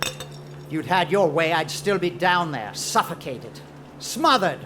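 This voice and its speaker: A man playing a bitter, obsessive treasure hunter in a macabre audio drama, speaking with deliberate theatrical menace. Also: on the high side, big pitch swings, background noise. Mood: aggrieved. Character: bitter, obsessive male treasure hunter, vengeful rival named Jacob.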